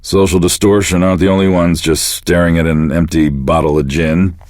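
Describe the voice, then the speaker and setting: Deep-voiced, bitter, deadpan male radio DJ played by an actor. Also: moderate pace, dry recording, studio quality, lightly acted. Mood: sardonic, resigned. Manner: deadpan, sardonic, world-weary. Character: bitter, self-pitying male radio DJ with a wrecked life. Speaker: radio DJ host. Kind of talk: scripted radio DJ patter for a game.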